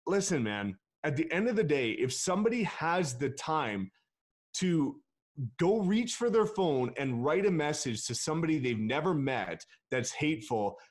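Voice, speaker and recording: A man's voice: a little high, very animated, moderate pace. A man, a real estate agent guest, talking bluntly and emphatically in a casual interview and swearing freely.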